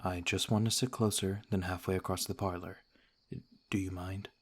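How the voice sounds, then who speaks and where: A man playing a courteous, cautious noble vampire lord in an ASMR roleplay, speaking in a formal, gentle, measured way. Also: sits low, level tone, husky. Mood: calm, guarded courtesy.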